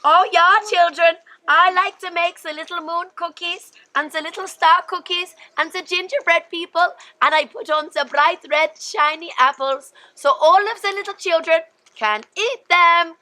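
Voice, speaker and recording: A woman's voice: extremely high pitch, moderate pace, hardly any pauses, bright, forceful tone, poor audio. A woman voicing Tante, a kindly old woman who bakes cookies and dotes on children. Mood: doting.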